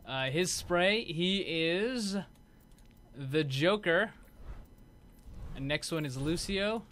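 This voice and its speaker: A man's voice: on the high side, animated, extremely slow, fairly bright tone. A man giving casual, unscripted commentary as host of a gaming video. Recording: clear background noise.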